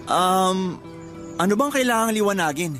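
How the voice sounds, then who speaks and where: A male actor playing an upbeat, loyal young suitor in a Filipino radio drama, chummy and animated. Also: high voice, normal speed, soft background music. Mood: nervous, earnest.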